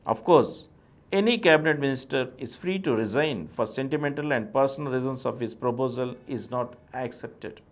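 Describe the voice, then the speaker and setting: A man with an Indian accent reads an essay aloud as a presenter. His voice sits at a middling pitch. He phrases each statement formally and deliberately, leaning firmly on key words. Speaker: reader and presenter. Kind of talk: reading a political essay aloud.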